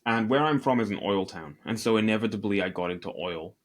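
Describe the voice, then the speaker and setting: A man from Northeast Scotland, speaking with a Scottish accent in a fairly low register. He answers interview questions as a guest, telling his story candidly with a self-deprecating humour. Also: lively intonation, fairly quick. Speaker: podcast guest, e-commerce entrepreneur.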